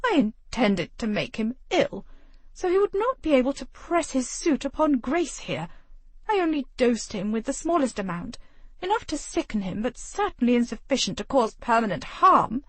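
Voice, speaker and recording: A woman's voice: a little high, sing-song, moderate pace, no echo, pitched above the performer's own voice. A woman voicing a cold, scornful aristocratic mother who speaks icily and haughtily. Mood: indignant. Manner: icy, haughty.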